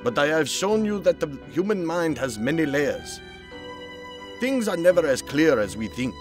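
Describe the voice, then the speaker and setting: Guy doing a courteous probing old shrink for a motion comic, highish voice that swoops around, music behind. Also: very animated. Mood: sympathetic, gentle. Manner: measured, musing, formal foreign-sounding phrasing. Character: genteel older male psychoanalyst, probing and courteous. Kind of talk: dramatic motion-comic voice acting.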